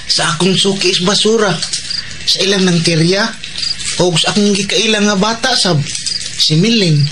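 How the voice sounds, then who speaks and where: A man's voice: high-pitched, normal speed, noisy background, natural voice. A man playing a polite, earnest young garbage scavenger in a radio drama, with a Cebuano accent. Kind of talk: Filipino radio drama acting. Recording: loud music bed behind dialogue.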